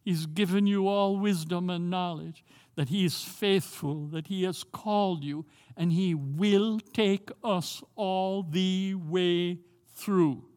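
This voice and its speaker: Older Jamaican man preaching, high voice. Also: steady tone, a little mellow, in a large hall. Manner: earnest, emphatic, slows down to stress key phrases. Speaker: pastor. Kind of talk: sermon.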